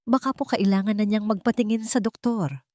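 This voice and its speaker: A woman's voice: medium pitch, brisk, a little mellow, dry recording, voice pushed up, lightly acted. Woman as old housemaid, Filipino accent, hesitant, respectful, anxious, story drama, dry sound. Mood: worried. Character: elderly housemaid, hesitant, respectful, sincerely worried.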